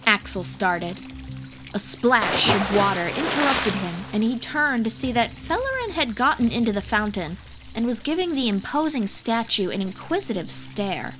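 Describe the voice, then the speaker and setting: A woman's voice: on the high side, big pitch swings, moderate pace. A woman reading scripted fantasy story narration as the narrator of an audiobook. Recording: music behind the voice.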